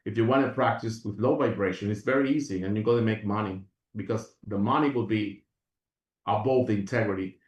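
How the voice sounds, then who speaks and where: A man's voice: on the low side, fairly quick, reverberant, distant mic, highs cut off. A man from Venezuela, a guest on an interview show, talking in an earnest, rambling way with many restarts. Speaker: guest, functional medicine practitioner. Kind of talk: reflective interview talk.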